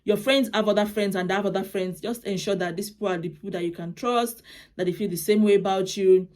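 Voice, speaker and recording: Young woman, Nigerian accent, Yoruba native speaker, casual advice vlog, chatty, restarts phrases, mid-pitched voice. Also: fairly even intonation, fast talker, darkish tone. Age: young adult. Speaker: vlogger.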